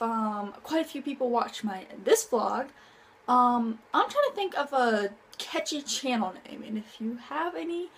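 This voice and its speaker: Young woman vlogging on her phone at home, kinda high voice, lots of ums, bit of hiss. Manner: chatty, many ums and restarts. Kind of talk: casual vlog talk to camera. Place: bedroom at home, indoors. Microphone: phone at arm's length.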